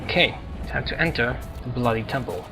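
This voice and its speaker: A man's voice: medium pitch, a little fast, gravelly. A man commentating casually on a video game, musing aloud in short, broken remarks. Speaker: gamer commentating on a playthrough. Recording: game music behind the voice. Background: loud video game music.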